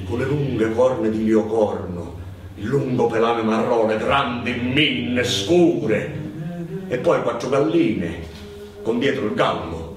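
Male stage storyteller, mid-pitched, dramatic monologue delivery, captured from afar in a very reverberant space. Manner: dramatic, building repetitions into drawn-out, chanted cries. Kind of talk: theatrical narrative monologue in live performance. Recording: very echoey, distant microphone, noisy ambience.

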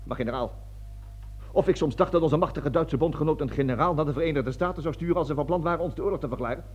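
A dull, lo-fi old radio drama recording of a man playing a cowardly army colonel. His voice sits fairly high and a little rough as he blusters, stammers and pleads, forever repeating and justifying himself. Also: fast talker, darkish tone, natural voice. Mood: flustered pleading. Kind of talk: theatrical radio drama acting.

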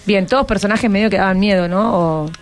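A woman's voice: mid-range voice, fairly even intonation, quick pace, soft background music. A woman with a Rioplatense Argentine accent, hosting a conversational interview and prompting with frequent check questions. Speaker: radio host interviewing schoolchildren.

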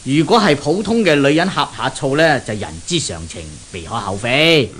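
An eager, genial radio-drama host. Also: male voice, on the high side, animated, normal speed, a bit bright. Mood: earnest storytelling. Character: genial, eager Japanese male host and storyteller. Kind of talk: 1970s Cantonese radio drama acting. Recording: hissy 1970s radio recording.

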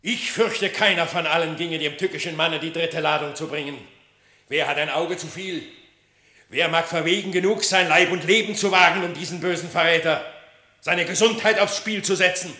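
An actor playing a grave, wary animal of the royal court in a verse radio play, with formal, weighty declamation. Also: male voice, on the high side, moderate pace, sharp tone, echoey room. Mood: grave, doubtful.

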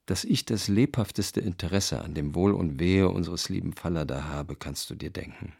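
A cautious male publisher who confides his business doubts in a low, hushed way. His voice is very deep, gravelly and husky. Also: moderate pace, heavily acted. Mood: confiding. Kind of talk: radio drama letter reading.

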